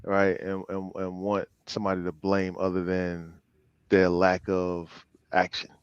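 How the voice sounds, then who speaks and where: A man's voice: sits low, monotone, measured pace, clean tone, no room sound. A man chatting casually on a podcast panel, rambling and repeating his words as he works toward a point. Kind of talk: casual chat. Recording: dull, muffled, dry sound.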